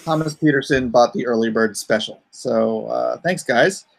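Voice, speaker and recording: A man's voice: medium pitch, moderate pace, creaky. Casual man chatting on a livestream. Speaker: livestream host and comic writer.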